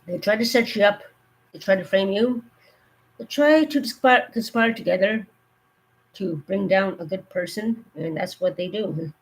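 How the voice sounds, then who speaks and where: A woman with a cold, her voice going hoarse, giving earnest safety warnings in a rambling, casual live stream. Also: mid-range voice, normal speed, slight background noise, poor audio.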